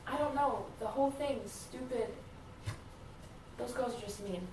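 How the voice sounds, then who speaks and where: A woman's voice: a little high, unhurried, lightly acted. A woman playing a defensive, hurt schoolgirl in a therapy role-play, sullen and reluctant to share. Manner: sullen, reticent, clipped. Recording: echoey room, distant mic, clear noise.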